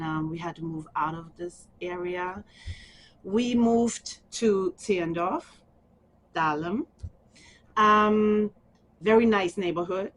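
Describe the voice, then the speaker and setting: A middle-aged woman from Germany with a German accent, speaking softly and haltingly with small laughs in an oral history interview. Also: on the low side, slight background noise, low-quality recording. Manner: soft, halting, with frequent ums and small laughs.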